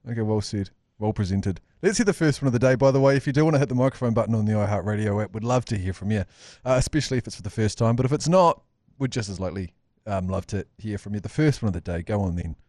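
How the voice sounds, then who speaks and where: A man's voice: fairly low pitch, quick pace, few pauses, darkish tone, dry recording. New Zealand man hosting, dry studio sound. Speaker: podcast host. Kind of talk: casual banter.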